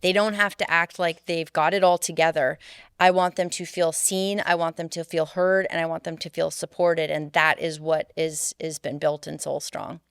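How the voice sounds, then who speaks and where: A middle-aged woman with a smooth, resonant voice that sits a little low, talking earnestly as a podcast co-host. She turns animated when telling a story. The sound is close and dry, from a studio mic. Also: normal speed, no room sound. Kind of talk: casual podcast chat.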